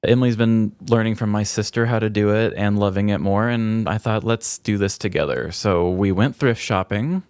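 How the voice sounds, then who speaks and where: A man's voice: fairly low pitch, normal speed, hardly any pauses, darkish tone, smooth, no echo, clean studio sound. A man co-hosting a podcast in casual, comedic chat, telling self-deprecating stories with some casual swearing. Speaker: podcast co-host.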